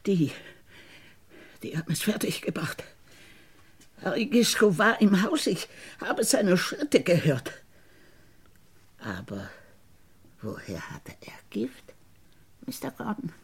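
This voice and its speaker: An actress playing a bitter, wronged convicted woman in a radio crime drama, deliberate and insinuating, with a husky low voice. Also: sing-song, heavily acted. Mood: insinuating, resentful. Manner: deliberate, halting, insinuating, dropping into whispers. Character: bitter, wronged woman once convicted of murdering her sister.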